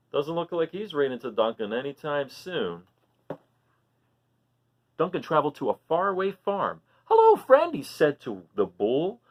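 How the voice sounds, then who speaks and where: A woman reading a picture book aloud for children, animated and often stopping to ask the listener questions. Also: lowish voice. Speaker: storybook reader for children.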